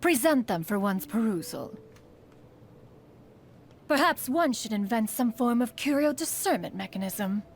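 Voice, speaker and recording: A woman's voice: a little high, animated, measured pace, pauses often, slight background noise. An actress playing a refined, inquisitive young woman tinkerer in a video game, speaking formally and precisely. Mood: curious. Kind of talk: video game character voice acting.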